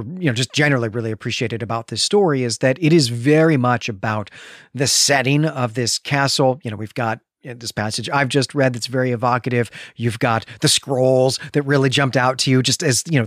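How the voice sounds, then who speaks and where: A man's voice: medium pitch, fairly quick, no room sound, clean studio sound. A man co-hosting a book discussion podcast, speaking in an articulate, enthusiastic and bookish conversational way. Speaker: podcast co-host. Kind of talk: book discussion podcast, conversational with some read-aloud passages.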